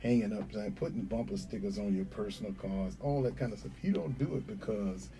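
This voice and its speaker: A man's voice: lowish voice, a little fast, vocal fry, warm tone, a little hiss or hum. A middle-aged man from North Carolina, a sheriff candidate answering interview questions earnestly with many fillers.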